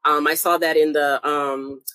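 A woman's voice: low voice, level tone, a little slow, fairly bright tone, no echo. A young woman of Haitian family from the United States, talking earnestly as a podcast guest and searching for words as she goes. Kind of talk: reflective interview conversation.

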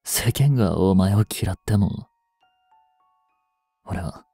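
A male actor plays a young policeman in mourning, tender and bitter. His low voice is dark and slightly husky, murmuring intimately as in a radio play. It sits close and dry over music. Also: lively intonation, takes it slowly, no room sound, heavily acted. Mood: anguished tenderness. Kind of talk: Japanese audio drama monologue.

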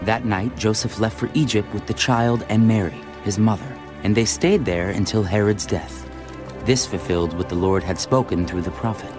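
A man's voice: lowish voice, moderate pace. A man reading scripted narration for a dramatized audio Bible. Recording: faint music behind the voice. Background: music.

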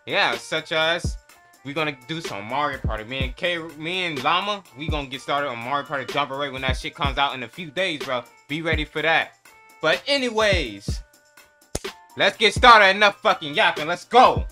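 A man's voice: fairly high pitch, moderate pace, bright, forceful tone, soft background music. A man gives casual, hyped, slangy and profane reaction commentary. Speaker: reaction video host.

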